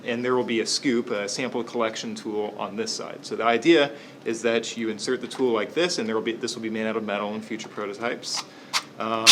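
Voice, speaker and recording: A young man engineering student methodically explaining how a prototype fits together, with some hesitant pauses. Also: lowish voice, noisy background. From United States. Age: young adult. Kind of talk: casual explanation.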